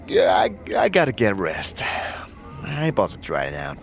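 A man performs a wisecracking floating skull companion, full of slangy grumbles. The pitch sits in the middle but swings dramatically. Music runs behind this fantasy audio drama voice. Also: huge pitch swings, takes it slowly, full character voice. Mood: awkward, weary.

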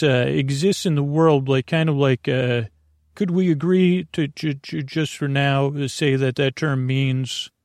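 A man with a creaky, gravelly voice hosting a bedtime podcast, rambling in a halting, meandering way and drifting off into tangents. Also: mid-range voice, a little mellow, dry recording, studio quality. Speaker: podcast host.

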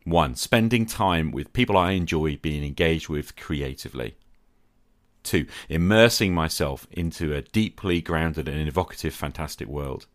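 A middle-aged man hosting a podcast, delivering a reflective scripted monologue in a measured, earnest way with rhetorical questions. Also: a bass voice, animated, no echo, studio quality. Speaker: podcast host.

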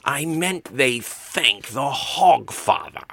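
A man's voice: mid-range voice, big pitch swings, very slow, sharp tone, voice pushed up, heavily acted. A man voicing an exasperated uncle sidekick in a storybook reading, emphatic and hissing as he prompts. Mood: exasperated, prompting. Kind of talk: storybook read-aloud character voice.

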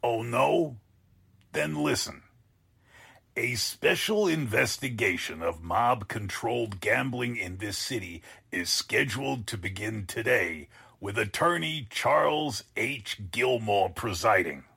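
Man playing a husky, exasperated newspaper boss. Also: medium pitch, expressive, takes it slowly, pushed and bright, heavily acted. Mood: exasperated, scolding. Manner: blustering, sarcastic, theatrical. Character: exasperated, sarcastic male newspaper editor boss. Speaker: actor in an audio drama. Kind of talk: 1940s radio drama acting.

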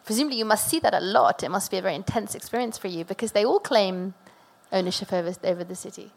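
A woman's voice: mid-range voice, fast, vocal fry. A woman moderating a discussion, feeling her way through questions and rephrasing them in a tentative, searching manner.

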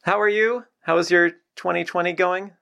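A man's voice: high voice, steady tone, moderate pace, gravelly, pushed and bright. A man hosting a podcast, introducing and chatting in an interview style.

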